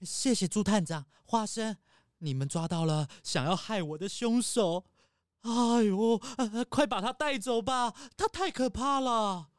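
A woman's voice: medium pitch, measured pace, clear, resonant tone, no room sound, studio quality, faint music behind, voice pushed down, lightly acted. A woman doing a whiny, scared male fox. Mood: fearful.

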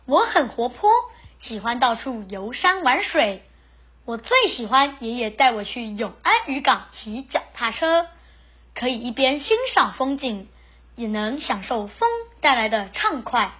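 Young schoolchild, animated intonation, husky edge, scripted reading, dull recording. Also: mid-range voice, a little slow. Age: child, primary school pupil. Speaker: student essay author. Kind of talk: scripted personal account read aloud.

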